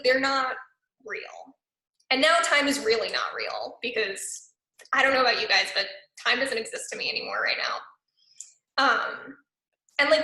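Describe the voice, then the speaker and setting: Young woman talking casually, says "like" a lot, bright clear voice, bit high, echoey room. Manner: chatty, many "like" fillers. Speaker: arts organization program director. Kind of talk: casual online talk.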